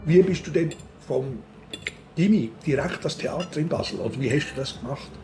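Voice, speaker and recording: A man's voice: on the high side, expressive, fairly quick, music in the background. An older man with a Swiss German accent, talking in a reflective, philosophical way in casual conversation as an interview guest. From Switzerland. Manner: philosophical, musing.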